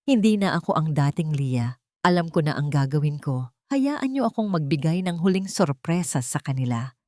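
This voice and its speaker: A woman voicing a calm, determined wronged bride who speaks with cool, deliberate defiance in a story. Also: deep voice, dry recording, clean studio sound. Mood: resolute.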